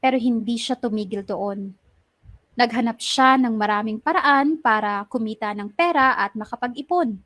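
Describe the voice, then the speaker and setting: A woman's voice: a little high, moderate pace, fairly bright tone, no room sound, lightly acted. A woman with a Filipino accent acting a young woman who earnestly narrates her own story of a close friendship in a radio drama. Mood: earnest, admiring. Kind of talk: scripted first-person narration.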